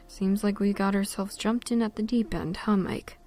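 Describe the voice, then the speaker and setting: A woman's voice: medium pitch, steady tone, a little slow, a little mellow, lightly acted. A woman acting a tough, wry, questioning ranger with a casual manner in a zombie audio drama.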